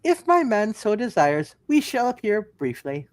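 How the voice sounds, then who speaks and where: Man as formal, higher-voiced dutiful superheroine. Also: very animated, dry recording. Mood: polite, earnest. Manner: formal, measured.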